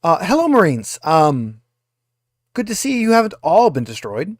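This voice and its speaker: A man voicing a haughty, impatient corporate woman liaison in a tabletop game, talking down to people in an informal, halting way. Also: fairly high pitch, huge pitch swings, no room sound, studio quality. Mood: condescending. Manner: dismissive, condescending, hesitant informal delivery.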